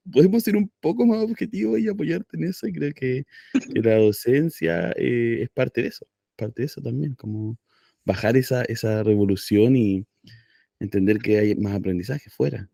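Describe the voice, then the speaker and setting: A man's voice: medium pitch, expressive, moderate pace, vocal fry, dark tone, no room sound, clean studio sound. A middle-aged man hosting a casual radio interview, hesitant and checking in with "¿cierto?". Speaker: radio host.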